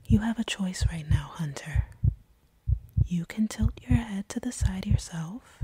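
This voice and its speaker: A sadistic, seductive vampire played by a woman in an intimate audio roleplay. She whispers very breathily and unhurriedly, in a low register, teasing and commanding her captive. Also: dark tone, husky, heavily acted, rough recording. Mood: coolly threatening.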